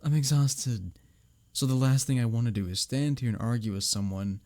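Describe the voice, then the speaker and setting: A man acting an arrogant, teasing office rival who is secretly smitten, in an intimate audio roleplay, often breathy and near a whisper. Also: mid-range voice, darkish tone, no room sound, close to an everyday voice. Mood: tired, exasperated. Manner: smirking, teasing, halting when flustered. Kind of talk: intimate ASMR audio roleplay addressed to the listener.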